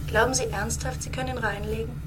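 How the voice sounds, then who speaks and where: An actress playing a steadfast, defiant scientist in a radio drama, measured, firm and solemn in delivery. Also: female voice, fairly high pitch, steady tone, heavy background noise, natural voice. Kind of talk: German radio drama acting.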